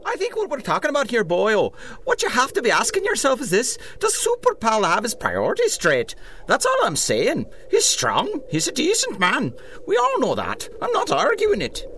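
An actor playing an earnest parks director who talks in a folksy, roundabout way in a mock documentary. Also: male voice, very high voice, wildly swooping pitch, moderate pace, a bit bright, slight background noise, heavily acted. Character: earnest, folksy Irish parks director, male civic official. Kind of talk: mockumentary interview in a comedic audio drama.

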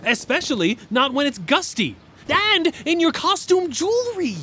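A man's voice: very high voice, very animated, moderate pace, bright, forceful tone, heavily acted. A man playing an earnest, awkward, squeamish meal delivery helper in a comedic audio drama, hesitant and literal-minded. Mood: fretful.

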